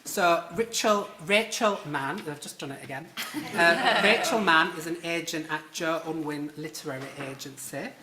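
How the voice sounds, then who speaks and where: A man's voice: on the high side, normal speed, hardly any pauses, crowd noise. A man with a Yorkshire accent moderating a live literary panel in a theatre, chatty and self-deprecating with frequent ums. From Leeds, England. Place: theatre stage before an audience. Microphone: stage microphone. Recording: roomy theatre sound.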